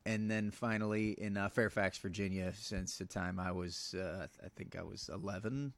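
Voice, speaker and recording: A man's voice: low-pitched, steady tone, creaky, no echo. A comedian guest, a man born in Iran and raised in the United States, talking casually with many fillers and restarts. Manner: halting, many fillers and restarts, soft-spoken. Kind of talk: casual podcast chat.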